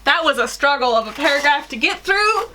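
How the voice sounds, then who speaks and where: A young woman in her twenties reading fan fiction aloud in an animated way, stumbling over the odd sentence. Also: sits high, brisk, a little hiss or hum. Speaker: guest story reader. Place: small attic bedroom.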